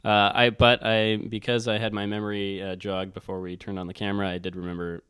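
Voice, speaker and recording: A man's voice: fairly low pitch, level tone, a little fast, fairly bright tone, clean tone, dry recording, studio quality. A man co-hosting a casual, unscripted book chat, rambling as he thinks aloud. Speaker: book talk co-host.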